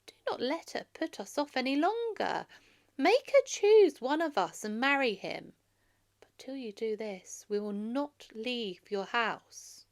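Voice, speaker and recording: A woman's voice: on the high side, big pitch swings, a little slow, pitched above the performer's own voice. A woman voicing an arrogant, scornful male suitor in a storybook, defiant and taunting in manner. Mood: accusing. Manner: forceful, taunting.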